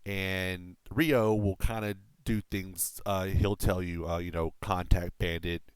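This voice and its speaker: A man talks through a flight combat game for his stream, speaking from a home desk setup. His voice sits low and comes across smooth and resonant, with a dry, close sound. He rambles loosely, filling gaps with "uh" and "you know" and letting a swear slip out. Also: fairly even intonation, takes it slowly, dry recording. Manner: rambling, with frequent fillers and casual swearing. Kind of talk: casual gameplay explanation on a live stream. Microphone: desk microphone through a mixer.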